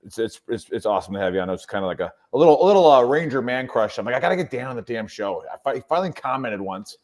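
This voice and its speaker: A man's voice: medium pitch, animated, very fast. A man in his forties co-hosting a podcast, ribbing the guests with stammered, easygoing talk. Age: 40s. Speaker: podcast co-host. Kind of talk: casual banter.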